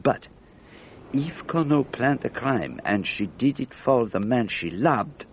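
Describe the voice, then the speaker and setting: An actor playing an elderly detective in a radio drama, speaking in a precise, deliberate, patiently instructive way. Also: male voice, medium pitch, lively intonation, slight background noise. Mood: patient, probing. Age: older. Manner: precise, deliberate, didactic questioning. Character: elderly, methodical, patient Belgian male detective.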